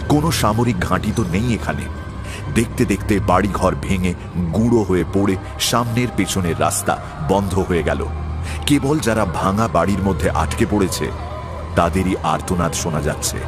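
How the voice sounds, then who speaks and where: A man reading a scripted story in a dramatic narrating style. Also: sits low. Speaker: narrator of an audio horror and adventure story. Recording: loud music bed under the voice.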